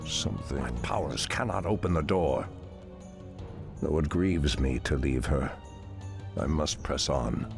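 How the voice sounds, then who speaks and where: Ancient elf druid leader, gravelly n deep, stern and commanding, fantasy game w music behind. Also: male voice, a little slow, pauses often, exaggerated voice. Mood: grave resolve. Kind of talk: dramatic video game acting.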